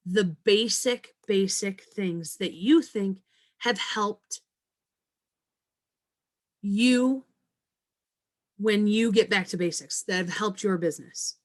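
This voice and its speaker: A woman coaching her direct sales team over a video call, hammering home the basics with emphatic repetition. Her voice sits at an ordinary, mid-range pitch.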